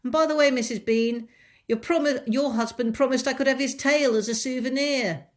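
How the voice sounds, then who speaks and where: A woman voicing a big, eager, slow-witted servant woman who talks loudly, in an audiobook reading. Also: a little high, expressive, voice pushed up. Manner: loud, eager. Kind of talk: audiobook character voice.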